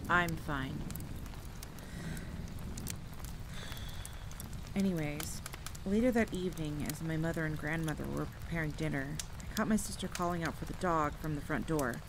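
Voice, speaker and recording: A woman's voice: deep voice, brisk, noisy background. A woman playing a vampire hunter who recounts her childhood in a conversational, scripted audio drama. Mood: steady, reminiscing. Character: vampire hunter woman telling a painful childhood story by campfire. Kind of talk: scripted first-person storytelling, audio roleplay. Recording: clear rain and thunder ambience behind voice. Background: rain and rolling thunder.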